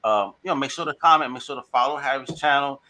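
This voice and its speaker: A man's voice: mid-range voice, fast talker. A man in his forties hosting a podcast, chatting casually and laughing often. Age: 40s. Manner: animated, laughing, signs off with a Spanish phrase. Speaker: podcast host. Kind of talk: casual chat.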